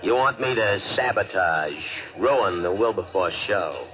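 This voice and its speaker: Blunt, low-voiced circus schemer. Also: male voice, lively intonation, slow pace, bright, forceful tone, full character voice. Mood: cool, menacing. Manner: blunt, coolly bargaining. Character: scheming, blunt circus cat handler turned saboteur. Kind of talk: 1950s radio serial acting. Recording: old radio recording with crowd murmur.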